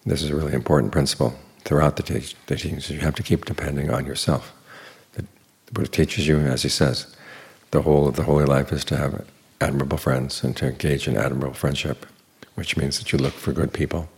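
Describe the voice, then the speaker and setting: Deep, gravelly-voiced man giving a Dharma talk. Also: a little fast. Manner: calm, explanatory, repeats small words. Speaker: Buddhist teacher.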